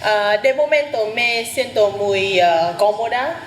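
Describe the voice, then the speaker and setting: A woman from Vietnam talks slowly and hesitantly, her native Vietnamese shaping her Spanish as she pauses on "ah" to find words. She answers interview questions in a relaxed, conversational way. The room is echoey with steady background noise. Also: medium pitch. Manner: hesitant, searching for words with frequent "ah" fillers. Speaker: interview guest. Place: Barcelona.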